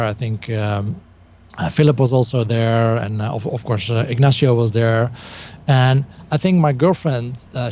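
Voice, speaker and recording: A Dutch man speaks English as a second language in an easygoing podcast chat. His tone is dark and mellow. He hesitates often, restarting sentences and filling gaps, and the audio is dull and lo-fi. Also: mid-range voice. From Netherlands. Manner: hesitant, many restarts and fillers. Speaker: guest.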